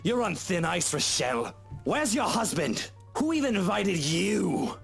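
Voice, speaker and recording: A man playing an outraged, indignant party figure shouting in a video game. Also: high-pitched, sing-song, unhurried, gravelly, faint music behind, exaggerated voice. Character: outraged man scolding an unwelcome woman.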